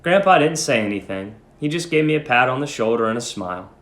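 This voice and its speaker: A man speaking as a teacher, reading and instructing in an expressive, emphatic way. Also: mid-range voice, fast. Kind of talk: dramatic story reading and lesson instruction. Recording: echoey room.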